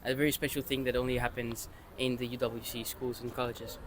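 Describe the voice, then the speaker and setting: A man's voice: medium pitch, little pitch movement, brisk, fairly bright tone, slight background noise, poor audio. A young man from Spain answering interview questions earnestly, with some restarts. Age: young adult. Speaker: student interviewee.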